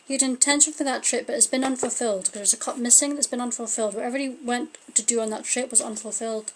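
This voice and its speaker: A woman's voice: a little high, steady tone, fast talker, a little hiss or hum. A Scottish woman doing a casual live-stream tarot reading, thinking aloud and trailing off as she turns the cards. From Scotland. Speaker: tarot card reader. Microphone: phone or webcam on a live stream.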